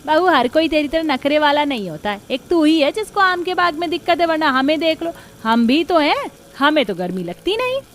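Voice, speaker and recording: A woman's voice: sits high, expressive, a little fast, few pauses, a little hiss or hum. A woman voicing a bossy, dismissive older mother-in-law who scolds and orders in a cartoon story. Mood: scolding. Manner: commanding, scolding. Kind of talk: Hindi cartoon story voice acting.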